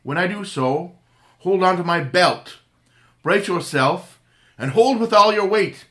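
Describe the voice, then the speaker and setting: A man acting a calm, commanding playboy crime-fighter in a 1930s-style radio drama reenactment. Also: high voice, a little slow, heavily acted. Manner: cool, commanding, reassuring.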